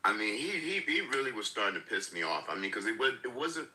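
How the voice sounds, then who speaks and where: An earnest man calls in and restarts his sentences often as he makes his point. His voice sounds very bright and sharp. His pitch swings up and down freely as he talks. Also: sing-song, fast talker, rough recording. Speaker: caller. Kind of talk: casual political chat.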